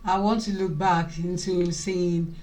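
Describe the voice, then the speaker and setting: A woman's voice: lowish voice, steady tone, measured pace, darkish tone. A woman from Nigeria with a Nigerian accent hosting a casual vlog, often repeating and rephrasing her points. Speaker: vlog host.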